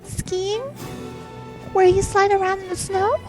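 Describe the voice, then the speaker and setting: A woman voicing a timid, sweet teenage girl who sounds soft and eager to please, with music behind her. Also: very high voice, level tone, slow pace, low-quality recording. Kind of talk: fan audio drama acting. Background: background music.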